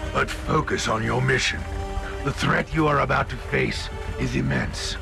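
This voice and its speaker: An actor playing a stern, dutiful military officer in a video game, formal and measured, with music behind him. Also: male voice, medium pitch, steady tone, gravelly. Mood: stern, cautioning.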